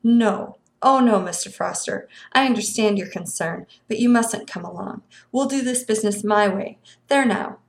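A courteous, sinister stranger in a yellow suit as a woman narrator puts him on. He speaks with silky, patient politeness that turns tight with impatience. Gravelly texture and a roomy echo. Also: medium pitch, moderate pace, hardly any pauses, close to an everyday voice. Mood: ingratiating. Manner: smooth, polite, quietly impatient.

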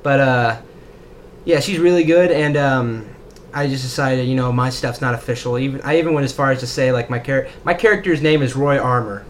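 A man's voice: mid-range voice, brisk. Young male co-host, rambly and self-mocking, faint noise behind. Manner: rambling, self-deprecating, jokey. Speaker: podcast co-host. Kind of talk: casual chat.

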